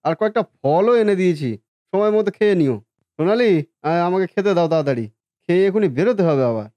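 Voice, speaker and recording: A man's voice: high-pitched, animated, brisk, mellow, no room sound. A man playing a hardworking, dutiful grown son in a cartoon family drama, caring and businesslike. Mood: hurried, caring.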